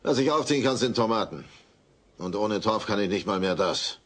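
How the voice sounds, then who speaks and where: A hapless, blunt neighbour man grumbles in a sitcom audio drama. His voice sits fairly low but moves around a lot in pitch. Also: expressive, normal speed, fairly bright tone. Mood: helpless.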